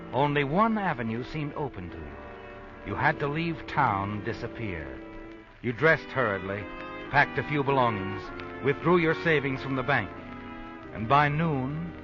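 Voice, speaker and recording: A man's voice: mid-range voice, bright, forceful tone. An actor playing a stern, knowing narrator who tells a woman her own story in dramatic old-time radio style. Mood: grave, knowing. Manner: deliberate, ominous, knowing. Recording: dull vintage radio recording.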